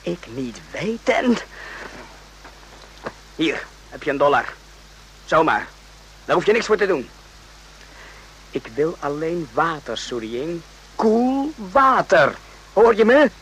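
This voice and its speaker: Restless night-watch soldier, husky, swooping intonation, agitated, repetitive, radio drama. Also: male voice, fairly high pitch, sing-song, slow, pauses often, fairly bright tone, heavily acted, poor audio. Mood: impatient, probing. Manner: agitated, stammering, repeats himself. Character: restless, uneasy soldier on night watch, rough-spoken but caring. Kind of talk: radio play acting.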